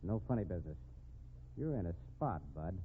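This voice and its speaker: A stern, menacing male boss in a 1950s radio mystery. His voice sits fairly low and he speaks in firm, slangy commands. The recording is thin and narrow. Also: big pitch swings, a little fast, a little hiss or hum. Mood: stern, threatening.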